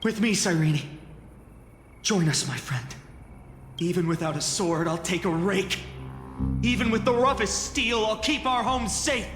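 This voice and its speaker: A brave young village hero in a fantasy game. He sounds high for a male, earnest and intense, echoing in long reverb with music behind. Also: a little slow, long pauses, fairly bright tone. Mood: resolute. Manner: intense, heartfelt, resolute. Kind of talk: dramatic scripted game acting.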